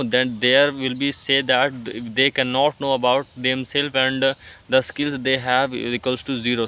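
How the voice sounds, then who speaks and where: A man with an Indian accent, Hindi-speaking by birth, talks in a flat, level tone, stopping often for fillers and restarted phrases. The sound is dull and lo-fi, with the top end rolled off. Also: mid-range voice, clean tone. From India, Jharkhand. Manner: halting, many fillers and restarts. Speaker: English-learning vlogger. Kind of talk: casual motivational talk to camera.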